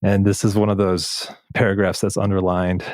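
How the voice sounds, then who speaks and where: A man interviewing in a reflective, measured way, halting and pausing between short phrases. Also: low voice, flat delivery, a little mellow, dry recording, studio quality. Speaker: podcast host, interviewer. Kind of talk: reflective interview conversation, some reading aloud.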